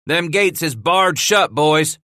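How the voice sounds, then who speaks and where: A man's voice: a little high, animated, slow pace, pushed and bright, dry recording, clean studio sound, pitched above the performer's own voice, exaggerated voice. Man doing a defiant hollering trapper.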